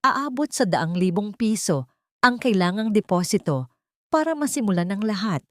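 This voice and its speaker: A woman's voice: medium pitch, lively intonation, normal speed, a bit bright, dry recording, voice pushed up, close to an everyday voice. A woman voicing a serious, formal hospital doctor in an audiobook drama. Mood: grave, urgent. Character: grave hospital doctor delivering serious news.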